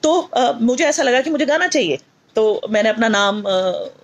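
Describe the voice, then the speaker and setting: A woman's voice: on the high side, expressive, normal speed, lo-fi sound. A middle-aged woman with a North Indian accent giving a motivational lesson in mixed Hindi and English, emphatic and repetitive, often asking rhetorical questions. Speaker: teacher and motivational speaker.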